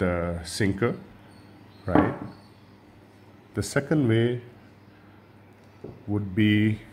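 A man from Sri Lanka, a fishing channel host, giving a relaxed hands-on tutorial at a table and often repeating and restarting his words. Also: fairly low pitch, a little hiss or hum.

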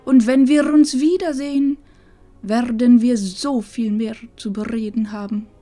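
Slow, wise old grandmother played by a woman. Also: on the high side, fairly even intonation, mellow, faint music behind, close to an everyday voice. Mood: wistful, blessing. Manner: solemn, measured, tender, with breathy phrase endings. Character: wise, loving old grandmother giving parting advice. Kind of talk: fantasy audio drama acting.

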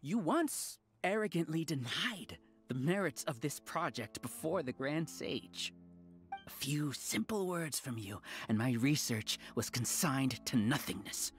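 A man's voice: fairly high pitch, lively intonation, measured pace, soft background music. A man voicing an arrogant, resentful researcher villain in an anime video game, speaking in formal, theatrical speeches. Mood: bitter. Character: arrogant, resentful male researcher leading a hive mind.

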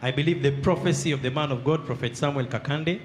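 A man interpreting a testimony in deliberate, complete sentences. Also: fairly high pitch.

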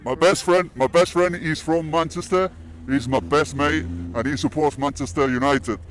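A man's voice: fairly high pitch, steady tone, a little slow, fairly bright tone. Informal Spanish man interviewing on the street. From Barcelona, Spain. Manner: informal, matey. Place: outdoors on a city bridge. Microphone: handheld interview microphone.

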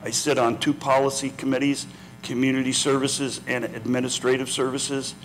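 A man's voice: mid-range voice, normal speed, slight background noise. A man in his sixties, a city councilman running for office, steadily listing his credentials in a panel debate on a lapel mic in a community hall. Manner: lists credentials, steady, with uh fillers. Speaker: political candidate, city councilman. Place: community center hall with audience.

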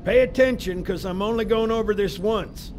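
A man playing a brisk, grumbling older military armorer in a video game, matter-of-fact and gruff. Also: high voice, a little mellow, a little hiss or hum, natural voice. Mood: brisk instruction. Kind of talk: video game character acting.

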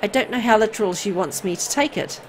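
A woman plays an anxious young horror game heroine. She sounds earnest and hesitant, pleading in a medium-to-raised pitch, over faint background noise. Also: quick pace, natural voice, rough recording. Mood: pleading, worried.

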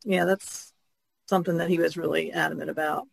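A woman talks in a casual, reflective way as a podcast guest. Her voice has a clear creak to it, and the recording is dry with little room sound. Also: low-pitched, steady tone, brisk, no room sound.

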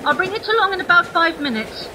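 A woman's voice: very high voice, big pitch swings, fast talker, background noise. A woman playing a courteous train conductor with a British accent in an amateur radio play. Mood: concerned, courteous.

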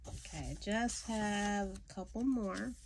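A woman's voice: medium pitch, takes it slowly, darkish tone, a little hiss or hum. A young adult woman reading to children in an informal storyteller style. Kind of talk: informal read-aloud of children's poems. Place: small room indoors.

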